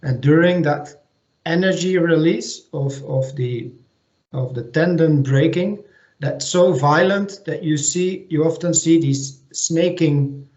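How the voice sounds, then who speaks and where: A man giving an online technical presentation as a technical manager, speaking hesitantly with many pauses and restarts. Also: on the high side, darkish tone. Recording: echoey lo-fi video call audio.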